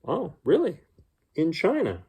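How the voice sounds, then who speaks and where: A man's voice: fairly high pitch, huge pitch swings, unhurried, mellow, husky, voice pushed up, exaggerated voice. A man voicing a friendly woman who keeps an ice cream shop in a storybook, kindly explaining things to a child. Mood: playful, knowing.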